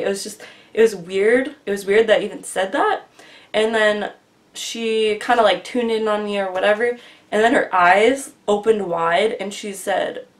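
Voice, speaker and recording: Earnest young woman telling a story. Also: mid-range voice. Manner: earnest, rambling, often quieter and trailing. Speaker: vlogger. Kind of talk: casual storytelling. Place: small bedroom with bookshelf, indoors. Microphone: webcam at desk distance.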